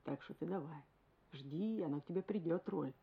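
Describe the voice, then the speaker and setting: A woman voicing her gentle, optimistic older father comforting his daughter, soft and quiet, in a dull old radio recording. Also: sits low, moderate pace, creaky, slightly rough, heavily acted. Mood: tender.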